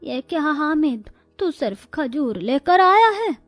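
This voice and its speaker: A poor, pious elderly grandmother acted by a woman speaks plaintively in a high voice at a very slow pace. The cartoon-story recording is dry, with music underneath. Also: big pitch swings, no echo. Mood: disappointed. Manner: plaintive, lamenting. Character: devout old grandmother, sorrowful, poor and scolding.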